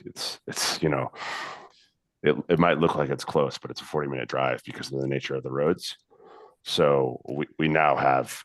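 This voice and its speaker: An American man talking casually on a video call about his distillery, relaxed and halting with many fillers. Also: extremely low pitch, no room sound. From United States. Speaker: distillery representative.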